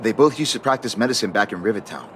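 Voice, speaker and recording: A man's voice: lowish voice, lively intonation, quick pace, fairly bright tone, a little hiss or hum. An actor voicing a glum, fatalistic male hypochondriac patient in a video game, resigned and defensive. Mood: wistful. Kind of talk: video game character acting. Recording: music behind the voice.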